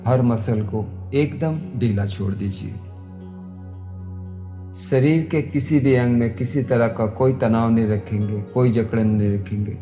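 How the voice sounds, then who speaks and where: A man, a yoga instructor leading guided meditation in measured, repetitive instructions. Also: mid-range voice, warm tone. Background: new-age music.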